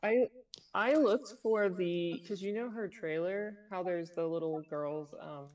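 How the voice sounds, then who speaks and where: Casting: easygoing woman gaming co-host, slightly low voice, a little off-mic. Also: a little slow, darkish tone. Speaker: stream co-host. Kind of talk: casual chat over a game. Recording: distant mic, faint game music.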